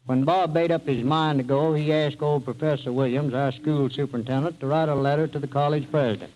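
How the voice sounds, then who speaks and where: A man's voice: on the high side, fairly even intonation, fairly quick, darkish tone, poor audio. A man from Arkansas, speaking as a guest in courteous, plain-spoken prepared radio banter. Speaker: small-town mayor, radio guest.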